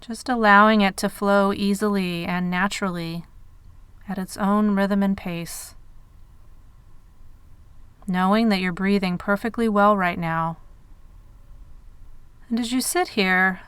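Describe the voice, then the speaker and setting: Female meditation narrator, medium register, very pure resonant tone, dry studio-like sound, measured delivery with space between phrases. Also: medium pitch, fairly even intonation, a bit bright, clean tone, dry recording. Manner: measured, unhurried phrasing with pauses. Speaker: meditation guide.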